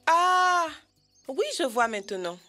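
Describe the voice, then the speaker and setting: A woman's voice: sits high, very animated, slow pace, sharp tone, soft background music, exaggerated voice. A woman voicing a cheeky, boastful, impatient little animal in a French children's cartoon, exclamatory and teasing. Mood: amazed.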